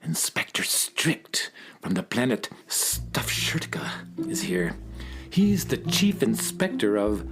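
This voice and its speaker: A man's voice: on the low side, big pitch swings, takes it slowly, fairly bright tone, whispered, faint music behind, heavily acted. A man playing an earnest, courteous male schoolteacher in a children's audio drama, speaking politely. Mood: earnest, concerned.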